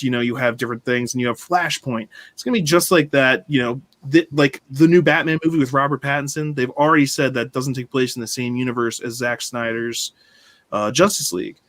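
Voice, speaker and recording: A man's voice: medium pitch, steady tone, brisk, fairly bright tone, dry recording. A man hosting a casual online fan discussion, talking loosely with many fillers. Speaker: podcast host.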